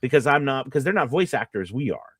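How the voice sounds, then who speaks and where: A man's voice: mid-range voice, big pitch swings, fast talker, fairly bright tone, breathy edge, dry recording, studio quality. A man co-hosting a casual live stream about voiceover work, rambling with false starts as he answers questions. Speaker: co-host, voiceover casting director and coach.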